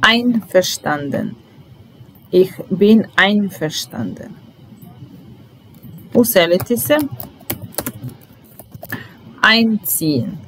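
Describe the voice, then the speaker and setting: A young woman teaching German vocabulary in a slow, deliberate drill, saying each word and repeating it calmly. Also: medium pitch, slight background noise, low-quality recording. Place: small indoor room at a desk.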